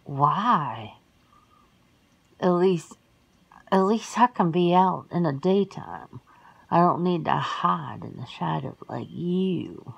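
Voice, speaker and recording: A woman voicing a folksy, blunt, centuries-old werewolf homebody in an ASMR roleplay, suspicious and quick to exclaim. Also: on the low side, animated, creaky, husky, lo-fi sound, heavily acted. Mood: indignant, sassy.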